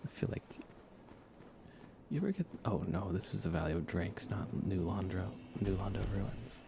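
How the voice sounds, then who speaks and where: A man softly talking to himself while livestreaming a video game in a hushed, casual ASMR style, hesitant and musing. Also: sits low, animated, moderate pace, vocal fry, noisy background. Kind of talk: ASMR gaming commentary, hushed casual chat.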